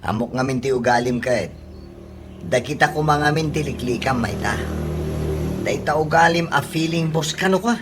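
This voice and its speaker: A man's voice: on the high side, moderate pace, natural voice. Man playing a blunt Ilocano co-worker, noisy background. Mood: chiding. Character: blunt, chiding male co-worker. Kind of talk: Ilocano comedy radio drama acting. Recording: noisy ambience behind radio drama dialogue.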